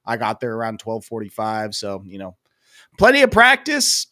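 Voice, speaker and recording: A man's voice: fairly low pitch, wildly swooping pitch, moderate pace, pushed and bright, no room sound, clean studio sound. A young man with a head cold, rambling casually as co-host of a sports podcast, full of fillers and self-deprecating asides.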